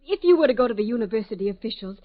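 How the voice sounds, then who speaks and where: A muffled vintage radio recording of a worried, devoted sweetheart. Her voice is high, and she speaks with earnest, anxious concern in classic fifties radio-drama style. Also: expressive, rapid-fire. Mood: distressed. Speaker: radio actor. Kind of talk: 1950s radio drama acting.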